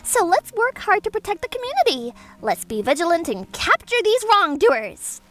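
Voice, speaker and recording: Casting: scheming cartoon schoolteacher, extremely high voice, swooping and gushing, music underneath. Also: female voice, huge pitch swings, moderate pace, fairly bright tone, exaggerated voice. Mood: smug, scheming. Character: scheming, self-righteous woman teacher, greedy and excitable. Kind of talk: manga dub voice acting.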